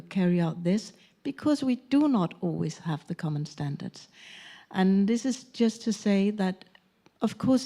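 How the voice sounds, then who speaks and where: A woman from Denmark, speaking as a panelist at a conference in a measured, thoughtful way with pauses and fillers. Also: fairly low pitch, warm tone. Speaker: conference panelist, former parliament member.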